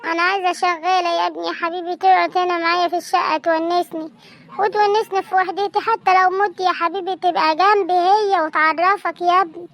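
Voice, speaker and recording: A woman's voice: very high voice, steady tone, fast talker, fairly bright tone. A young woman with an Egyptian accent voicing a lonely, frail old grandmother who pleads mournfully in an amateur skit. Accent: Egyptian Arabic. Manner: mournful, pleading, calls everyone "my dear".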